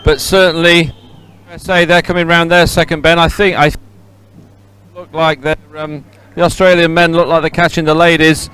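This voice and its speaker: A man giving live, unscripted sports commentary outdoors, halting often to repeat and correct himself. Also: a little high, little pitch movement, a bit bright, clear, resonant tone, rough recording. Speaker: race commentator. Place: outdoors beside a race course.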